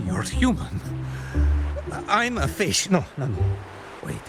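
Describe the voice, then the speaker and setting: A man playing a dazed, raving old boatman in a video game, muttering and rambling haltingly. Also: high-pitched, huge pitch swings, over music, exaggerated voice. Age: older.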